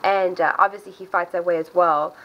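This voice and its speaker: A woman's voice: lowish voice, fairly even intonation, brisk, lo-fi sound. A woman from South Africa with an accent that mixes South African and American, chatting casually and upbeat to camera as she gives her fight predictions. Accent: mixed South African and American. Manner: chatty, upbeat, self-interrupting. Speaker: MMA video host.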